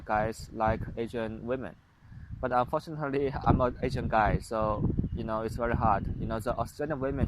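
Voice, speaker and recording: Young male vlogger, Chinese accent, hesitant and unpolished, slight background hiss. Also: mid-range voice. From China. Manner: halting, many fillers and restarts. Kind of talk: casual monologue to camera.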